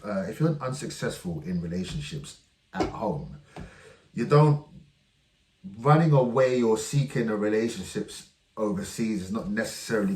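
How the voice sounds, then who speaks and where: Deep-voiced man, echoey and distant. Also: darkish tone. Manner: hesitant, many ums and restarts. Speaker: vlog host reacting to clips. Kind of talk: casual commentary. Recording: echoey room, voice from far off.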